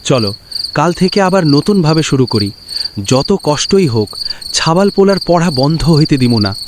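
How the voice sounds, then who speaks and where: A woman's voice: low voice, big pitch swings, moderate pace. A woman voicing a worried, weary farmer father, in a cartoon. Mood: tender. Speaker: cartoon voice actor. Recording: loud cricket and insect noise.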